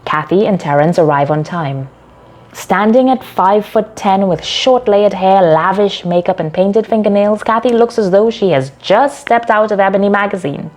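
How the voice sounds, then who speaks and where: A woman narrating a short story from a script in a measured, dramatic storytelling delivery. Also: mid-range voice, a little mellow.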